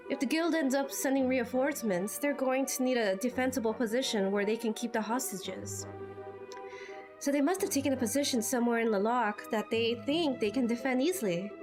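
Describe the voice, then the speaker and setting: Woman playing a thoughtful young tactician, higher-pitched. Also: close to an everyday voice. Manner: thoughtful, explanatory. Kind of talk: amateur game dubbing.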